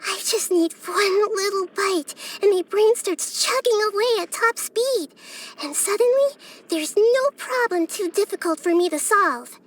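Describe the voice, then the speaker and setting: A woman voicing a childlike girl god of wisdom for a video game, gentle, curious and thoughtful, speaking softly and carefully. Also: extremely high pitch, measured pace. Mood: earnest, musing. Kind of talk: scripted video game character voice lines.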